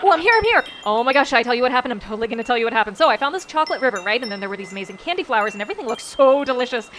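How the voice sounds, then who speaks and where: A woman playing a sugar-crazed young space crew member in an audio sitcom, gushing breathlessly and excitedly. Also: a little high, rapid-fire, background noise, natural voice. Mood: giddy, hyper. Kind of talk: sci-fi audio drama sitcom acting.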